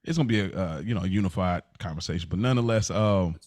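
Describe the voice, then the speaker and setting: A man's voice: low-pitched, very fast, a little mellow, dry recording, studio quality. An American man co-hosting a podcast, talking casually and earnestly in informal, slangy language. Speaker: podcast co-host.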